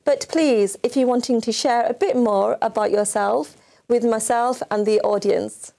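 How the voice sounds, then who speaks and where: Mellow-voiced woman TV presenter. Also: fairly high pitch, measured pace, vocal fry. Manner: chatty, filler-heavy. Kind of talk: live TV interview. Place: TV studio.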